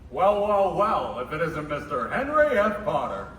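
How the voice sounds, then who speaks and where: Guy doing a flustered excitable old uncle, radio play, far from mic in a roomy theater. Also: fairly high pitch, unhurried, exaggerated voice. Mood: jolly teasing. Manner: flustered, swinging delivery. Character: bumbling, excitable, good-natured old uncle. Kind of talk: stage radio play acting. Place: high school theater stage. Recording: echoey distant stage recording, faint noise.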